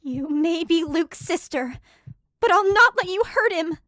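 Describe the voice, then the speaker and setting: A woman plays a protective fantasy heroine with a high voice, recorded very dry. She is bold and sarcastic, speaking in a pointed, challenging way in an audio drama. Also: animated, measured pace, a bit bright, no room sound, full character voice. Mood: defiant.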